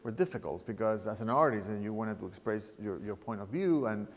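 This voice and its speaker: A man in his fifties from Chile, talking reflectively about his work as an artist, halting and often restarting his phrases as he searches for words. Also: on the low side, animated. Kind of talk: reflective interview talk. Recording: reverberant room sound.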